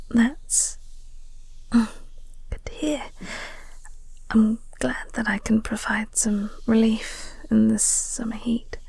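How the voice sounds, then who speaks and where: A flirty vampire woman, acted by a woman for an intimate audio roleplay, mostly whispering in a high, breathy voice. She is coy and teasing, takes her time, and lets a heavy creak into her words. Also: flat delivery, slow pace, long pauses, darkish tone, no room sound, full character voice. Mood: soft, reassuring. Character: flirty, teasing vampire woman, office co-worker, calmly soothing.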